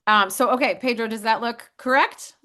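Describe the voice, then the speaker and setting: A woman's voice: a little high, moderate pace, bright, forceful tone. A woman in sales hosting a casual company demo, upbeat and friendly, with frequent fillers. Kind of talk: casual chat on video call.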